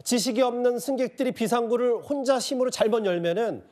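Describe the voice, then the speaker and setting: A man's voice: very high-pitched, fast, a little mellow. A man anchoring a news discussion show, putting conversational, probing questions to a guest and addressing viewers. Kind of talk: live studio news discussion, questioning an expert.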